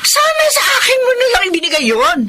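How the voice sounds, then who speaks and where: A Filipino actress playing a grasping, envious older sister who scolds and nags in a radio drama. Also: female voice, very high voice, huge pitch swings, fast, sharp tone, full character voice. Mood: reproachful, upset. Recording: noisy recording with clicks.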